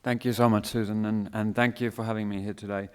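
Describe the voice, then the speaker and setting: A man from Finland with a Finnish accent mixed with Australian, giving a relaxed panel talk with many fillers. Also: lowish voice, fast, clear, resonant tone. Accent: Finnish with Australian mix. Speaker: fashion design academic, panel speaker. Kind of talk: panel presentation. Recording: reverberant hall.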